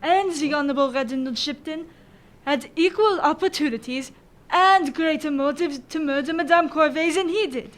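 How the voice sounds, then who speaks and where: Young-sounding woman as high-voiced French police prefect. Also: a little hiss or hum, close to an everyday voice. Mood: measured, formal. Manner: formal, measured questioning. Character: stern, fair-minded French prefect of police presiding over a trial. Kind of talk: student radio drama acting.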